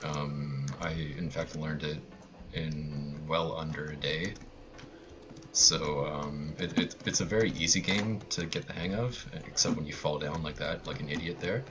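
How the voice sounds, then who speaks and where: Low-voiced male speedrunner, halting, offhand live commentary with dry humour. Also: fairly even intonation. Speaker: speedrunner commentating own run. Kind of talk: casual live gameplay commentary.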